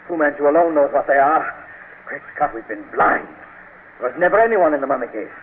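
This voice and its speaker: An actor playing an earnest, inquisitive British doctor and loyal sidekick, exclamatory, in a 1930s radio drama. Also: male voice, fairly high pitch, expressive, fairly quick, natural voice. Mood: shocked, realizing. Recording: tinny, noisy 1930s radio recording.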